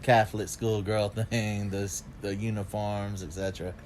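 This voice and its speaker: A man's voice: on the low side, level tone, moderate pace, a little mellow, a little hiss or hum. Joking man chatting on a podcast. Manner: joking, off-topic asides. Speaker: podcast co-host. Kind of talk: casual banter on a TV recap podcast.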